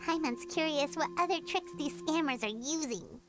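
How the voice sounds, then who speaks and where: A woman voicing a small, childlike fairy companion in a video game, perky and suspicious, with a squeaky voice. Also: normal speed, over music, natural voice. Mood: scheming, suspicious. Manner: excitable, refers to self by name.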